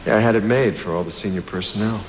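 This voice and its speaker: An actor playing a smug, condescending security chief in a crime audio drama, brusque and self-important with veiled menace. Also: male voice, lowish voice, fast, slight background noise, lightly acted, rough recording.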